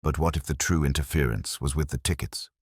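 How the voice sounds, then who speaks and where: Man voicing a very deep, quiet police inspector. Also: husky, no room sound, studio quality. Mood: quietly probing. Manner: measured, quiet, deliberate. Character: quiet, unassuming, shrewd male police inspector. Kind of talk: audio mystery story dialogue.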